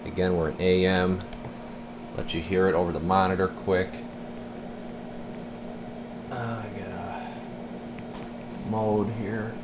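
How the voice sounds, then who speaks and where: Male technician, deep voice, informal rambling demo style, noisy workshop sound. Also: flat delivery, slow, long pauses. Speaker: radio repair technician presenting his bench work. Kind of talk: casual hands-on demonstration vlog. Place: indoor radio repair workbench.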